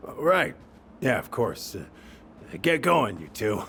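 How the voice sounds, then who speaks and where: An actor playing a kindly, awkward older man in a video game, halting and rambling as he speaks. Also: medium pitch, big pitch swings, gravelly, pushed and bright, slight background noise, full character voice. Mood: flustered. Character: kindly, awkward, wistful older family friend named Sam. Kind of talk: naturalistic video game acting.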